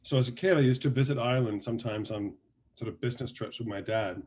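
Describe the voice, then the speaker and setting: Danish guy, mid-pitched, loose and chatty interview guest who restarts his thoughts a lot. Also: fast. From Denmark. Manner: relaxed storytelling with many restarts and fillers. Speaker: actor and guest. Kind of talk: casual interview talk.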